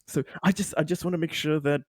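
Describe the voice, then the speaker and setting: A man's voice: on the high side, steady tone, fast talker, dry recording, clean studio sound, voice pushed up, natural voice. A man playing an awkward, over-polite bureaucratic boss in a tabletop game, hesitant and rambling in word salad. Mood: politely probing.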